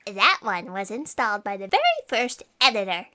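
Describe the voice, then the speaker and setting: A woman playing an excitable, defensive office underling, theatrical and evasive, in a comic sci-fi audio drama. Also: medium pitch, normal speed.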